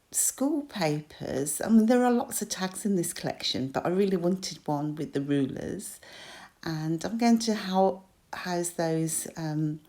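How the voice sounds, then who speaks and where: A British woman in her forties talks through her crafting in a low, slightly croaky voice. She is chatty and self-deprecating, dotting her talk with ums and little laughs. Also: dark tone. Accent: British English. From United Kingdom. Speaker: crafter and shop designer. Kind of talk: crafting tutorial voice-over.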